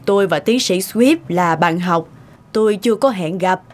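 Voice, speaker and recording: A woman voicing a courteous, kindly and composed lady in a cartoon, with a polite, gentle manner. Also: lowish voice, measured pace, close to an everyday voice. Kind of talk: cartoon voice acting. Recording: music behind the voice.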